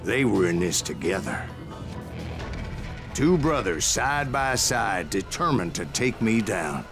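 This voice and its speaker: A gravelly, stubborn old gunslinger narrates his own legend for a game. His is folksy and self-assured, rising and falling expressively, with music playing behind him. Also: fairly low pitch, sing-song, slow, heavily acted. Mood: bitter, determined.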